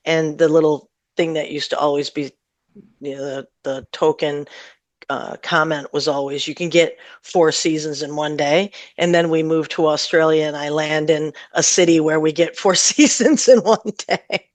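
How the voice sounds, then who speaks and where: A woman's voice: low voice, steady tone, normal speed, no room sound. A woman with a Midwestern American accent, chatting casually as a singer-songwriter guest in an interview, thinking aloud in loose, unfinished sentences. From northern Michigan, USA. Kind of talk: casual radio interview chat.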